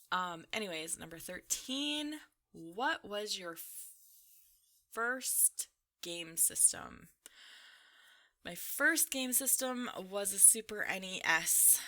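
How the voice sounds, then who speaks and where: Young female gaming creator: bright, crisp-edged voice, a little slow-paced, informal and rambling, chatting casually. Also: fairly low pitch. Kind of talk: casual chatty commentary while playing a game.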